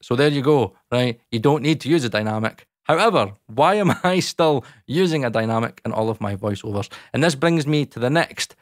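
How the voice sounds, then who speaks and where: A Scottish man in his thirties with a dark, boomy voice, chatting casually to camera about recording from his small home studio, talking close into a dynamic mic. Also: animated, brisk, few pauses, dry recording. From Scotland. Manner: chatty, lots of fillers, talks with his hands. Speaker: YouTube audio educator. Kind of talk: casual explainer talk to camera. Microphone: large dynamic mic close in front.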